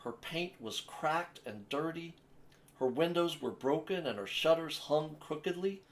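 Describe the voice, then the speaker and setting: A man narrating a children's picture book as a storyteller, with an expressive, measured delivery. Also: on the high side. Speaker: storyteller, host of a story time series.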